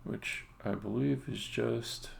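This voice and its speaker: A man giving a casual live-stream demo as a game developer, halting and thinking aloud as he goes. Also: medium pitch, creaky. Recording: noisy, with keyboard typing and clicking.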